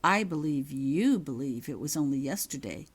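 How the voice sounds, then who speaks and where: A woman voicing a stern, calculating male wizard professor in a storybook reading, with measured, commanding questions. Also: sits low, expressive, breathy edge.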